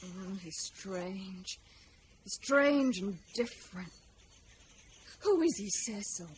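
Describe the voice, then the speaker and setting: A woman's voice: mid-range voice, lively intonation, slow. An actress playing a passionate young lady in jungle peril, with melodramatic urgency, in an old-time radio drama. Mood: defensive, wondering. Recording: noisy old radio serial recording.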